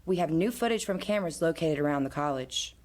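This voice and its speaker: Low-voiced actress as a TV news anchor. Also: female voice, expressive, fast talker, a little mellow. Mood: formal, urgent. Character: composed female TV news anchor. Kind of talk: audio drama acting, news-read delivery.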